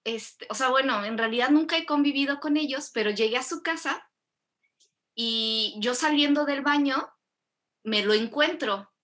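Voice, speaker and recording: Bright, lively woman host. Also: sits high, normal speed. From Mexico. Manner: animated, rambling with self-corrections. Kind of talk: casual conversational interview.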